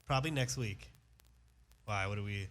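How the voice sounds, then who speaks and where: A man's voice: on the low side, moderate pace, fairly bright tone. A man hosting a casual radio interview, chatty and prone to restarts and easy laughter. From Afghanistan.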